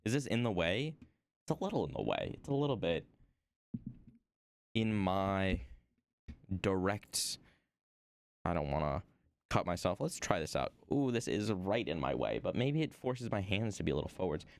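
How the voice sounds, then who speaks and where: Chatty man with a lively, swinging voice. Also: moderate pace, long pauses, no room sound. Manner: rambling, self-deprecating, lively swings in pitch. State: hoarse. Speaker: art streamer. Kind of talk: casual stream chat. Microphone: desk microphone.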